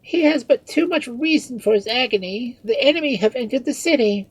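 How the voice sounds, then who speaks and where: A woman's voice: sits high, animated, normal speed, a little mellow, no room sound, voice pushed up, rough recording. A woman voicing an earnest, anxious female attendant to a queen in a story, urgent and dramatic. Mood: resigned alarm.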